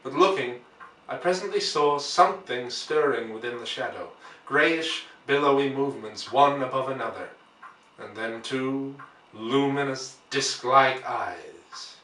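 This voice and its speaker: Male audiobook narrator, forceful bright tone, deliberate dramatic delivery, echoey room with the mic set back. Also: mid-range voice, lively intonation. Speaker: audiobook reader. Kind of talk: dramatic scripted narration of a classic novel. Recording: roomy echo, distant microphone.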